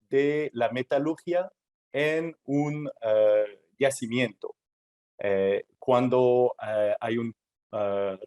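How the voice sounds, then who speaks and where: Slow, halting non-native Spanish-speaking man. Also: medium pitch, mellow. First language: not Spanish; Spanish is his third language. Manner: halting, many "eh" fillers and restarts, non-native phrasing. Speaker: presenter at a technical workshop. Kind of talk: technical presentation.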